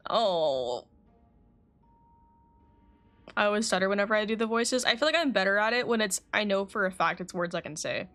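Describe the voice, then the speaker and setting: Chatty woman streaming a game, mid-pitched. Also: expressive, quick pace, a bit bright. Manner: chatty, stumbles and corrects herself when reading. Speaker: game livestreamer. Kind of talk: casual live game commentary, reading story text aloud. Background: game music.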